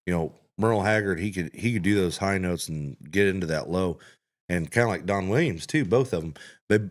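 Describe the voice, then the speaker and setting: A man's voice: low voice, brisk. A man hosting a podcast who chats casually and eagerly promotes the show. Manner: enthusiastic, promotional. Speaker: podcast host.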